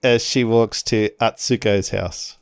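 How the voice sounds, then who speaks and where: A man's voice: on the low side, fairly even intonation, slow, gravelly, clear, resonant tone, dry recording, clean studio sound. A man improvising narration of his character's actions in a tabletop roleplaying game, hesitant and searching for words. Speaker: tabletop role-player.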